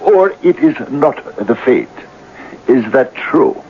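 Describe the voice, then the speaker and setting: An actor playing a grandiloquent, persuasive pal who philosophizes in florid, pompous speeches in a radio drama. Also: male voice, mid-range voice, very animated, slow pace. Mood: grandly oratorical. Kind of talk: 1970s radio drama acting. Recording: tinny, noisy old radio broadcast.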